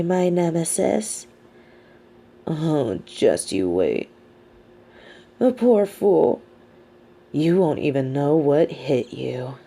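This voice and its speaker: Smug, mellow female supervillain, acted by a woman. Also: lowish voice, a little hiss or hum. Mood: brooding, vengeful. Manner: smug, articulate, sardonic. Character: female supervillain, adult, smug, mean but sympathetic captor. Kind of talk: dramatic audio roleplay monologue.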